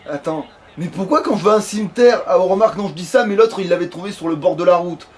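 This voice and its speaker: A man's voice: sits high, fast talker. A man doing casual, sweary let's play commentary, grumbling at the game as he plays. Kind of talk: casual gaming commentary with banter. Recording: clearly noisy home game recording.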